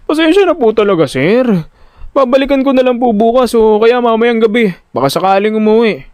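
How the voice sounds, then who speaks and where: Man acting a nervous, high-pitched underling. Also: lively intonation, brisk, darkish tone, clear, resonant tone, no echo. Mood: apologetic. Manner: stammering, deferential. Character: nervous, stammering young male debt collector, apologetic underling.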